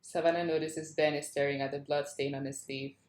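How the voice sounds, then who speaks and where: A woman's voice: low voice, flat delivery, a little fast, a little mellow. A woman reading screenplay stage directions as a narrator at a table read. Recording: echoey room.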